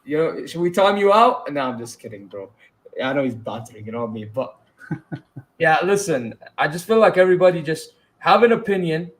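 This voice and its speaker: A man from Saudi Arabia whose English carries a British-influenced accent, bantering on a livestream. His voice sits a little higher than most men's, and he fills his talk with "bro" and "you know what I mean". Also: expressive, a little fast. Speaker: co-host, channel owner. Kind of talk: casual livestream chat.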